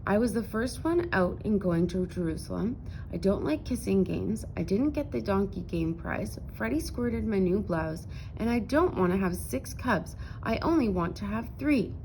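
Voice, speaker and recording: A woman's voice: mid-range voice, animated, moderate pace, a little mellow, a little hiss or hum, natural voice, poor audio. A woman voicing an eager five-year-old girl bear cub in a storybook, childlike and plaintive. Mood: upset, whining.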